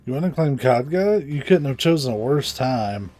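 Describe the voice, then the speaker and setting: A man's voice: medium pitch, expressive, normal speed, warm tone, pitched above the performer's own voice, natural voice. Guy doing a wary game villager, emphatic warnings, reluctant but helpful, light music in back. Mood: cautioning. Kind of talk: reading game dialogue aloud.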